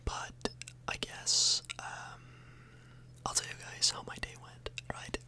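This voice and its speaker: A woman speaks entirely in a soft, breathy whisper. She rambles casually in an ASMR style, hesitating and correcting herself as she chats to her viewers. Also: slow pace. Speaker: ASMR YouTuber, host. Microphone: microphone a little further away than usual.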